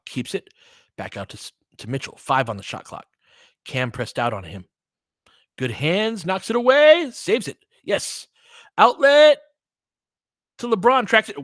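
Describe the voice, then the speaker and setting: A man's voice: sits high, bright, forceful tone, no echo, clean studio sound. A man giving casual, slangy live basketball play-by-play as a partisan fan host, often in short clipped phrases.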